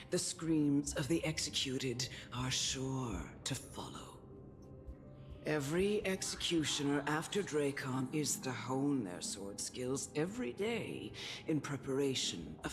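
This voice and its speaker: An actor playing a grave, wise guardian of death who counsels a child in a measured, solemn video game style. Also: medium pitch, very animated, noisy background. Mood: grave storytelling. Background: music.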